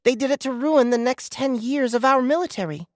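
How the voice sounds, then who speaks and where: A woman's voice: sits high, a little fast, no room sound, studio quality, natural voice. A woman voicing an earnest, clever but shy eight-year-old girl in a science fiction audiobook. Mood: earnest, insistent.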